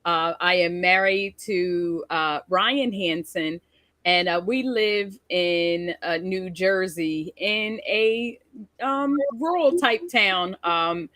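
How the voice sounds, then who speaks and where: A woman guest on a video call, introducing herself hesitantly with many pauses. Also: fairly low pitch, no echo. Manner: hesitant, warm, many fillers.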